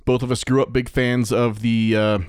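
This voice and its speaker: A man with a medium-pitched voice co-hosts a podcast. His delivery is casual and conversational, like informal chat between two hosts. The recording is dry, without audible room. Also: flat delivery, moderate pace, darkish tone, clean tone, no room sound. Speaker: podcast co-host.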